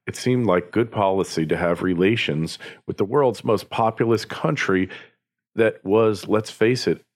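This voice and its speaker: An American man delivering opinion commentary as a podcast host, in an emphatic, opinionated way. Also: deep voice. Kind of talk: opinion commentary, partly scripted monologue.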